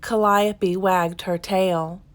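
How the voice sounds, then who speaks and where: A woman reading a children's storybook aloud in an expressive, deliberate storytelling manner. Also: medium pitch. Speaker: children's book reader. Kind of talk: expressive scripted storybook narration.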